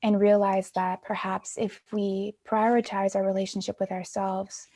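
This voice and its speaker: A woman's voice: mid-range voice, little pitch movement, normal speed, dark tone. A woman in her twenties guiding an online creative workshop for young people in an encouraging, reflective way. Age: 20s. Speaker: workshop facilitator.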